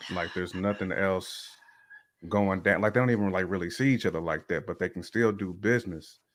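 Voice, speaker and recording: A man's voice: sits low, brisk. A man chatting casually and colloquially, speaking emphatically and repeating phrases to make his point. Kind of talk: casual chat.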